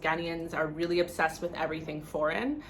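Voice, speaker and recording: A woman's voice: low voice, brisk, a little hiss or hum. A young woman with a Canadian accent talking casually to camera in a conversational, opinionated way, often saying "like" and "you know". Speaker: vlogger.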